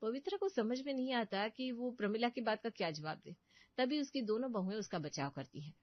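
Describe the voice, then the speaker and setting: A woman's voice: a little high, expressive, a little fast, no room sound, highs cut off. A woman giving scripted storytelling narration for a family cartoon.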